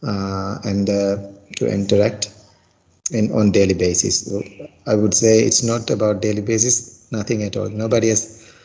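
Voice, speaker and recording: A man's voice: fairly low pitch, level tone, moderate pace, gravelly, mellow. A middle-aged man from Sri Lanka with a Sri Lankan accent and Sinhala as his first language, practising English in a casual chat, full of fillers and restarts. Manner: hesitant, many fillers and restarts. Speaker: English learner.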